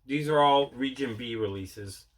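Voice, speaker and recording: A man's voice: mid-range voice, a little slow, a little hiss or hum. A man casually explaining items in an unscripted show-and-tell at home, matter-of-fact in manner. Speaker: host of collecting vlog. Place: indoors at home.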